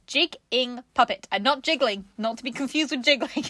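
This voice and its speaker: A woman's voice: sits high, normal speed, sharp tone, no echo. A woman co-hosting a craft show in casual, jokey chat, giggly and easily amused.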